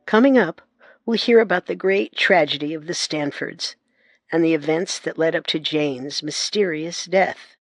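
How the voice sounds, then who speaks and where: A woman co-hosting a historical radio drama, giving measured, scripted documentary narration. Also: low voice, no echo, soft background music. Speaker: narrator and co-host.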